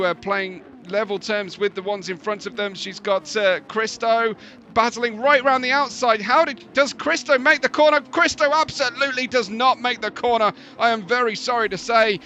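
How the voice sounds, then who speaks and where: Gravel-voiced male sim racing commentator. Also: sits high, lo-fi sound, slight background noise. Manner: chatty, laughing asides, excitable during race action. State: gravelly, tired voice. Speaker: race commentator.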